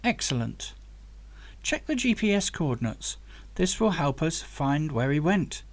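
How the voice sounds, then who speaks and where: A man's voice: a little high, big pitch swings, unhurried. A man voicing an eager, curious young male hero in an audiobook, asking questions and exclaiming keenly. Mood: curious, urgent. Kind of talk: audiobook character dialogue.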